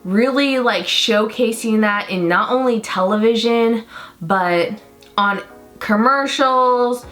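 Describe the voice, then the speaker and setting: Chatty deep-voiced young woman. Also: fairly bright tone. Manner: rambling, enthusiastic, lots of fillers. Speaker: beauty vlogger. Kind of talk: chatty get-ready-with-me makeup talk.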